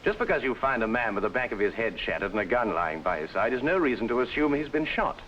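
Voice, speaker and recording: An actor playing a brilliant, haughty, sarcastic detective in old-time radio drama, lecturing with pompous precision. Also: male voice, medium pitch, lively intonation, quick pace, a bit bright, slight background noise, natural voice. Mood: dry, lecturing. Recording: dull vintage radio recording, faint music.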